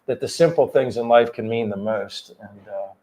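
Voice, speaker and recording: A man's voice: medium pitch, normal speed, darkish tone. A man in his fifties from Pennsylvania, chatting earnestly and a bit ramblingly on a livestream. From Pennsylvania, USA. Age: 50s. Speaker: livestream co-host. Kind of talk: casual livestream chat.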